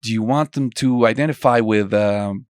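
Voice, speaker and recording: A man's voice: on the low side, moderate pace, darkish tone, smooth, no room sound, clean studio sound. A young man from Colombia teaching an online songwriting lesson, chatty and full of questions for the listener. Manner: conversational, asks students many questions. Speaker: songwriting instructor.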